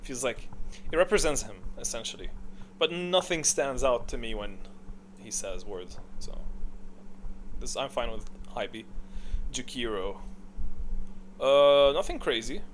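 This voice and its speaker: A man talks casually through a video game ranking, thinking aloud and giving blunt opinions. His pitch is middling and swings in a lively way from phrase to phrase. There is some noise behind his voice. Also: a little slow, long pauses, poor audio. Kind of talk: casual unscripted commentary.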